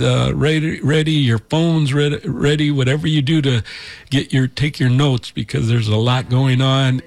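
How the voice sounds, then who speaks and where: A man hosting a community radio music show, his voice raspy from allergies, talking in an easygoing, rambling way. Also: mid-range voice, darkish tone, no room sound. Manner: easygoing, rambling, chuckles often. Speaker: radio DJ host. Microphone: studio mic.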